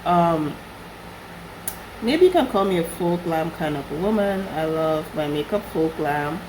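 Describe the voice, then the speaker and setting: A young woman recovering from a flu, her voice husky, chatting casually through a makeup tutorial. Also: low-pitched, animated, a little slow, noisy background. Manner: chatty, rambling with fillers. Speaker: beauty vlogger.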